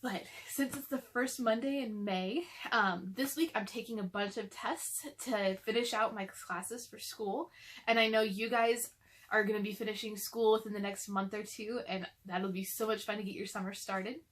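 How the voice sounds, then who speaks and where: A woman's voice: mid-range voice, steady tone, moderate pace. A young adult woman chatting casually to children and reading a storybook aloud to them.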